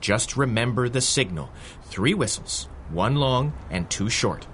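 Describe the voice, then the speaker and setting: Casting: capable plainclothes officer, patient, explanatory, medium pitch, old-time radio drama. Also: male voice, expressive, unhurried, a bit bright, slight background noise. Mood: patient, amused. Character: patient, capable undercover constable agent. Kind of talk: 1930s radio adventure acting.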